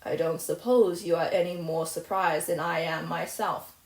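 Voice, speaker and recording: A woman's voice: lowish voice, fairly even intonation, moderate pace, darkish tone, reverberant, natural voice, rough recording. A woman reading aloud, voicing a stern, dry-spoken middle-aged spinster. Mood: dry, firm. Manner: dry, firm, matter-of-fact.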